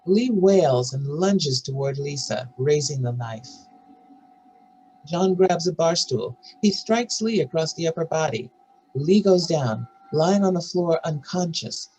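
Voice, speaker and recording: A man's voice: fairly high pitch, expressive, measured pace, a little mellow, faint music behind, rough recording. A man narrating scripted scene directions as part of a screenplay table read. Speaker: narrator reading stage directions.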